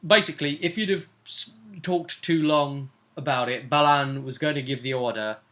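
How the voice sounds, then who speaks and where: A man's voice: mid-range voice, normal speed, fairly bright tone. A man, a game master casually recounting a tabletop role-playing session in a chatty storytelling way. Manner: chatty, conversational storytelling with tag questions.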